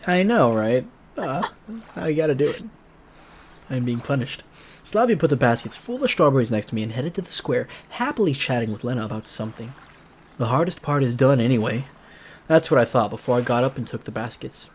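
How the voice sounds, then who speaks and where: A man's voice: medium pitch, animated, brisk, a little hiss or hum. A man narrating a visual novel aloud as a let's player, casual and slangy, with joking asides. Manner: jokey, slangy. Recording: music behind the voice.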